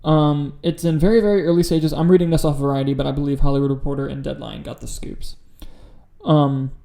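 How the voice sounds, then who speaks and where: A man's voice: a little high, fairly even intonation, fairly quick, vocal fry, dark tone. A man co-hosting a casual podcast, talking about film news in a blunt, profane, opinionated way. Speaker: podcast co-host.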